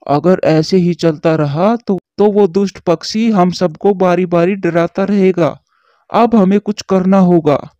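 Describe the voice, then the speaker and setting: A man's voice: high voice, measured pace, dark tone, dry recording, muffled recording. A man voicing a brave, protective male pigeon who talks in an earnest, determined way.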